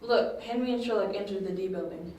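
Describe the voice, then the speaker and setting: A woman playing a calm, patient young confidante who explains things in an amateur audio drama. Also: medium pitch, fairly quick, close to an everyday voice. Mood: matter-of-fact. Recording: distant echoey room, faint noise.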